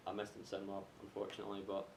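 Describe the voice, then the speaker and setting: A man chatting casually about films on a podcast in a halting way, swearing freely. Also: lowish voice, completely flat delivery. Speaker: podcast panelist. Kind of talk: casual film chat. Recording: echoey room, faint noise.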